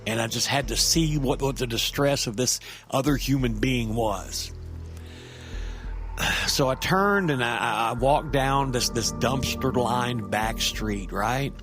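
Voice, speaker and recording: A man performs a street-wise, jittery narrator of an audio horror drama. He talks conversationally in a mid-range voice, halting and doubling back on his words. Faint music runs underneath. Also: medium pitch, fairly bright tone. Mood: hushed storytelling. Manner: halting, stammering, conversational, with tag questions. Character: nervy, rambling street-wise man recounting a frightening encounter. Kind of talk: audio drama first-person horror monologue.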